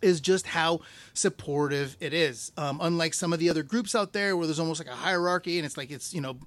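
A man's voice: a little high, fairly quick, fairly bright tone. A man hosting a health podcast, talking casually and upbeat with lots of fillers like "like" and "you know". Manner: upbeat, filler-heavy, salesy. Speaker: podcast host. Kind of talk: casual interview and promo.

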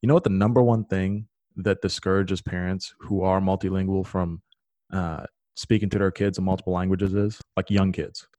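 A man's voice: low-pitched, fairly even intonation, normal speed, mellow, no room sound. A man whose first language is Serbian, hosting a casual conversation and explaining things emphatically.

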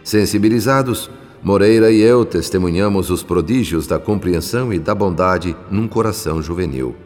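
A male actor narrating a radio drama with a Brazilian accent in a measured, solemn, literary manner. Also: deep voice, big-room sound, lightly acted. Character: solemn male narrator telling a moral family story. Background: soft music.

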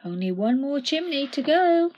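Woman putting on jolly old santa, loud ho ho ho, big swings up and down. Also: big pitch swings, normal speed, lo-fi sound. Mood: hearty. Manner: booming, exclamatory.

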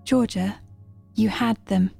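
An actress voicing a calm, clinical artificial guide in an audio drama, with a measured, formal manner. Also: female voice, mid-range voice, exaggerated voice. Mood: gently composed. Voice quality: creaky, soft-toned. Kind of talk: restrained audio drama acting. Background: music.

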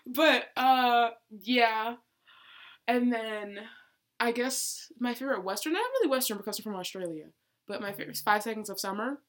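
A woman's voice: on the high side, normal speed. An eighteen-year-old girl from Georgia in the United States, co-hosting a casual podcast and talking with lots of hesitant fillers. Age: teens. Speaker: podcast co-host. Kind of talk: casual chat.

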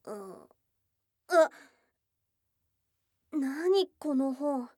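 A woman voicing a shy, affectionate young goblin girl in a Japanese ASMR voice drama, with casual tomboyish speech and a soft, hesitant delivery. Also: high-pitched, animated, very slow, no echo, studio quality, full character voice. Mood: nervous. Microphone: close studio mic, dry.

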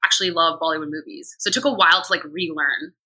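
A woman's voice: deep voice, fast talker, slightly rough. A young American woman telling personal stories in a candid, conversational way as a podcast guest, often acting out what people said. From United States. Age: young adult. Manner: animated, quotes herself and others in anecdotes.

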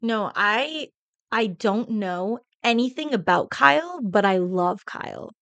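A young woman co-hosting a casual podcast. She talks in a loud, lively, expressive way. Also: mid-range voice, takes it slowly, dry recording. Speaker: podcast co-host. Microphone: podcast mic.

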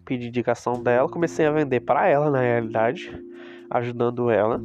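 A man's voice: medium pitch, a little fast, darkish tone, dull audio. A man with a Brazilian Portuguese accent and a stuffy nose from a cold, chatting casually and rambling to his viewers about reselling cosmetics. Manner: chatty, rambling, with asides to the audience. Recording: music playing in the background.

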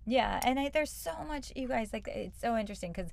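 A woman's voice: fairly high pitch, animated, fairly quick, vocal fry. A woman co-hosting a casual podcast chat, rambling with lots of "you know" and "like.". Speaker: podcast co-host.

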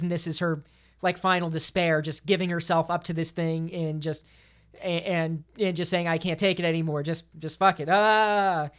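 A woman on a podcast panel casually discussing films in an emphatic, opinionated way. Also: on the low side.

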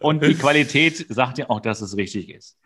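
A man co-hosting a podcast in casual, humorous banter, often mock-serious and halting as he corrects himself. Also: mid-range voice, a bit bright, slight background noise. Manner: mock-serious, tongue-in-cheek. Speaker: podcast co-host.

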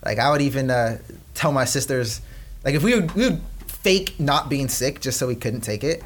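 A man's voice: medium pitch, expressive, slight background noise. A young man from Peru, a company co-founder, chatting as an interview guest and telling family stories with lots of fillers. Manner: chatty storyteller, quick bursts with frequent fillers. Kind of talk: casual interview chat.

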